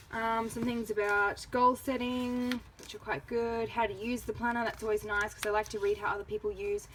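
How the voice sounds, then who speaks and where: A woman's voice: fairly high pitch, low-quality recording. Australian woman with noise behind her. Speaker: vlogger reviewing products. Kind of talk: casual product review narration.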